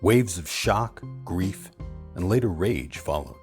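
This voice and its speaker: Low, animated male documentary narrator. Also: takes it slowly, faint music behind. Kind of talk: scripted dramatic narration.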